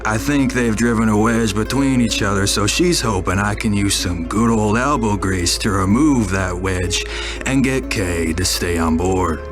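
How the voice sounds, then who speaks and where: A man playing a folksy, dutiful camp staffer, recounting events in a measured diary-like monologue for a horror podcast. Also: on the low side, over music, close to an everyday voice. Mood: reflective, wary.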